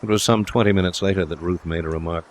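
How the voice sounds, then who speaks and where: A man's voice: deep voice, fairly even intonation, fast talker, slight background noise. A man reading a story aloud as an audiobook narrator, in scripted narration.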